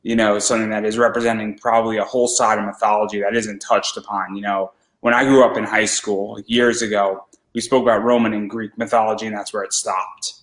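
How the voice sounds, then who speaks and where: A man hosting a casual interview show, enthusiastic and quick to stumble and repeat words. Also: lowish voice, flat delivery, fairly bright tone. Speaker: podcast host. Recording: roomy echo.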